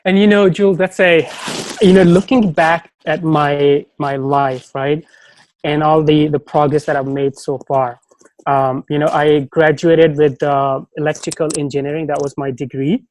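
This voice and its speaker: A man's voice: on the high side, fairly even intonation, moderate pace, darkish tone, low-quality recording. A man, not a native English speaker, chatting as an interview guest, rambling with many fillers and restarts. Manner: rambling, heavy on "you know" and restarts. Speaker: guest, startup advisor. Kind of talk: casual interview chat.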